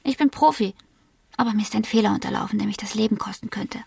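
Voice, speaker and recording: An actress playing a cool, self-possessed woman in a German radio crime drama, speaking deliberately and matter-of-factly, sometimes almost whispering. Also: medium pitch, gravelly, darkish tone, breathy edge, dry recording, clean studio sound, recorded from a distance, full character voice. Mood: rueful.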